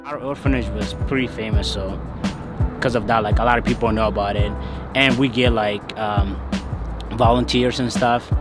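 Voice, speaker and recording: A man's voice: on the low side, fairly even intonation, moderate pace, loud music behind the voice. A young man from Ethiopia telling his own story in a loose, hesitant way, full of fillers. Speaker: interviewee. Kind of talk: casual personal storytelling.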